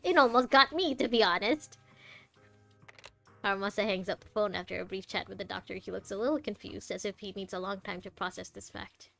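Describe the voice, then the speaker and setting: A woman streamer with a mid-pitched voice chats casually through a game. She is playful and teasing, reading text aloud at times, with soft game music underneath. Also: brisk, creaky. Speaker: streamer playing a game blind.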